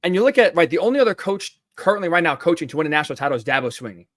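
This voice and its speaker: A man with a high-pitched voice co-hosting a podcast, talking college football casually and often adding "right?" to his points. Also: big pitch swings, very fast, fairly bright tone. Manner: tosses in "right?" tag questions. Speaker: podcast co-host. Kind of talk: casual sports talk.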